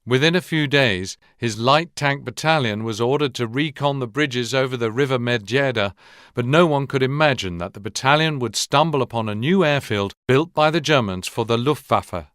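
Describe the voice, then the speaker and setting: A man voicing the narrator of a war game, reading scripted history in a measured, serious, documentary manner. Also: medium pitch, a bit bright, smooth, no room sound, clean studio sound, close to an everyday voice.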